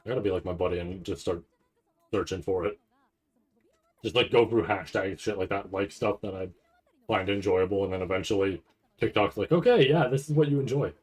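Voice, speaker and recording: A man giving casual, rambling live commentary as a streamer, halting and restarting often as he thinks aloud. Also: deep voice, a little mellow, husky. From Canada. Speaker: streamer reacting to a live anime event.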